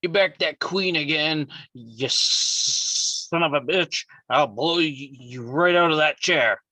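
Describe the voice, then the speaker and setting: Slow, snarling gambling thug played by a man. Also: on the high side, pushed and bright, dry recording, full character voice, low-quality recording. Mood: furious, threatening. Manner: snarling, threatening. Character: snarling, menacing armed thug of a card dealer. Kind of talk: live table read of a western screenplay.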